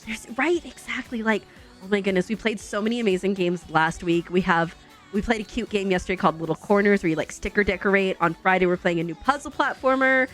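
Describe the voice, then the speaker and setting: A woman's voice: medium pitch, fast talker, a bit bright. Chatty young woman streamer, faint music. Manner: chatty, upbeat, giggly, talks to herself while building. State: slightly congested. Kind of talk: casual chat while playing a game.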